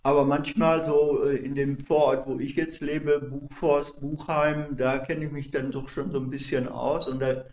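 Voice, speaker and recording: Older man, volunteer archivist, halting, searches for words, roomy recording. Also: fairly high pitch, little pitch movement, darkish tone. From not from Cologne. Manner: hesitant, many fillers and restarts. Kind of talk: conversational interview answers. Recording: echoey room.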